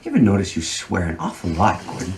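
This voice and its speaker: A man's voice: deep voice, wildly swooping pitch, fast talker, background noise. An actor playing an opinionated, prickly man who questions and challenges people with sarcasm, in a naturalistic drama. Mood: needling.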